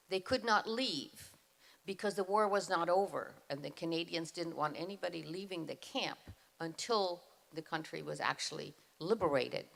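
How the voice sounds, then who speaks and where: Woman, deep and heavily gravelly, earnest measured retelling. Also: a bit bright. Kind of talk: retelling family history at a school event. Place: school event hall.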